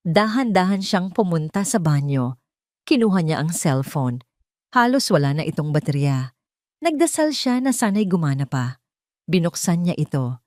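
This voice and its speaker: A woman's voice: lowish voice, very animated, moderate pace, darkish tone, no echo, clean studio sound. A woman reading dramatic scripted narration as the storyteller of an audio drama.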